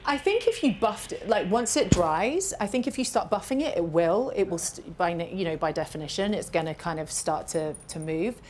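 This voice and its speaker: A woman's voice: fairly low pitch, animated, moderate pace, few pauses, a little mellow, a little hiss or hum. A British woman on a makeup panel, talking casually and rambling with restarts.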